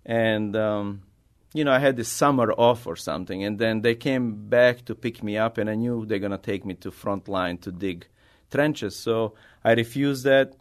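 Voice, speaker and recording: A middle-aged man from Bosnia with a Bosnian accent, a native Bosnian speaker answering interview questions as an artist in halting, non-native English. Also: on the low side. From Bosnia, former Yugoslavia. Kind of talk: conversational interview answers, personal storytelling.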